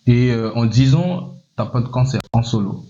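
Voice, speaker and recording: A man's voice: mid-range voice, moderate pace, dark tone. A man hosting an interview, asking loose, rambling questions full of fillers like "et tout". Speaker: interviewer, show host. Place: Casablanca. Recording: slightly muffled, lo-fi sound.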